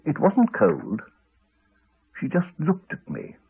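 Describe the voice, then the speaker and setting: An actor playing a courteous, wry English country doctor, middle-aged, with a hesitant, chatty manner in a 1980s radio drama. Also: male voice, a little high, huge pitch swings, takes it slowly, creaky, slightly rough, full character voice. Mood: hesitant, serious. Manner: hesitant, chatty, clipped phrases. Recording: slightly dull old radio recording.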